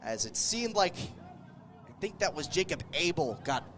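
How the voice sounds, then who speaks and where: A man's voice: fairly high pitch, very animated, measured pace, a bit bright. A man doing live American football play-by-play as a radio announcer, following the action in clipped, vivid phrases. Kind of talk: live sports play-by-play commentary.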